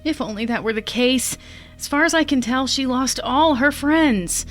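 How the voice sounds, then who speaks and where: A woman's voice: sits high, moderate pace, faint music behind, natural voice. An actress playing a worried, polite suburban mother in an audio drama, with a courteous but anxious manner.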